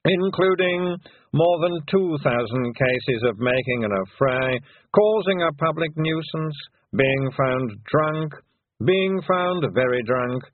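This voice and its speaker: A man voicing a formal male lawyer reading out a long list of charges in a slow drone. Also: a little high, expressive, no room sound, narrow, tinny audio, voice pushed up. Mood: droning. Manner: slow, droning legal reading.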